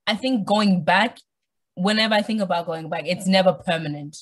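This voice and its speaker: A woman's voice: fairly low pitch, moderate pace. A young woman from Australia, born in Zimbabwe, talking casually as a podcast guest and acting out bits of dialogue with colloquial slang. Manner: animated storytelling, acts out imagined dialogue, colloquial slang. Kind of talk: casual conversational interview.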